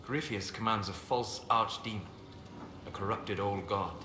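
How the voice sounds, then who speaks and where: An actor playing a calm, reserved elven mage advisor in a video game, speaking in measured, formal phrases. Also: male voice, fairly low pitch, close to an everyday voice. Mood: grave, analytical. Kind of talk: video game dialogue acting. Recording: roomy echo, clear background noise.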